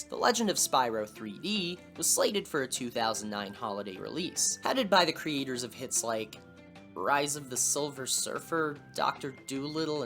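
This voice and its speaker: A woman's voice: very deep voice, a bit bright. A woman hosting a video series, reading scripted video essay narration with wry asides. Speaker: host of a video series on lost media.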